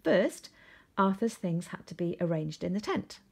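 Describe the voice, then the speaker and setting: Husky woman reading a children's story. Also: lowish voice, very animated, measured pace, darkish tone. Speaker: storybook reader. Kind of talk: expressive children's story read-aloud. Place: indoors at home, seated.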